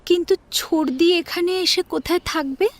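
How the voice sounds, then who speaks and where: Woman acting a high-voiced, self-respecting young woman. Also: moderate pace, no echo. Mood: polite firmness. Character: self-respecting young woman, quietly wounded, firm with family. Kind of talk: Bengali audio story acting.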